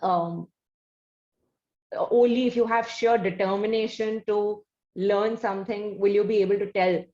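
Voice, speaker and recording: A woman hosting an interview, talking in long, deliberate, conversational lead-ins. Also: medium pitch, fairly even intonation, mellow, roomy sound, highs cut off. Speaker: interview host.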